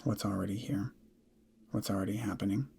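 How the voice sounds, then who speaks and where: A man guiding a meditation in soft, breathy, measured short phrases with long pauses, often trailing into a near whisper. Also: on the low side, steady tone, slight background noise. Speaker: meditation guide. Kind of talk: guided meditation, slow instruction.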